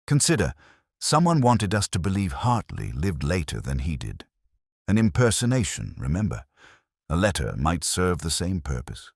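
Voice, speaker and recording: A shrewd police inspector read by a male narrator from a mystery story, speaking formally and deliberately with quiet authority. The voice is very deep, yet the intonation rises and falls freely, in a dry recording. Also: wildly swooping pitch, vocal fry, breathy edge, no echo, full character voice. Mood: thoughtful, correcting. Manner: soft-spoken, deliberate, formal.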